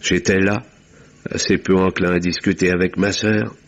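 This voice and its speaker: An actor playing a weary, reserved country doctor who narrates a radio mystery in a measured, formal, literary way. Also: male voice, low-pitched, level tone, lo-fi sound. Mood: grave, measured. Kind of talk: French radio mystery drama acting and narration.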